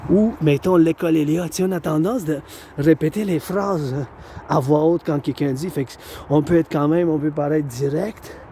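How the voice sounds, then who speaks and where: A man's voice: fairly high pitch, normal speed, warm tone, slight background noise. A man with a Québécois accent speaking in a rambling monologue outdoors, repeating and circling back to his own phrases. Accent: Québécois French. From Quebec. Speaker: vlogger.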